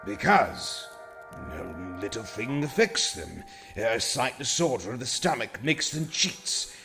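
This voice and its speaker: An actor playing a miserly old man, gruff and scoffing. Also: medium pitch, big pitch swings, slow, exaggerated voice. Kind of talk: radio play acting. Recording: echoey hall reverb with faint music.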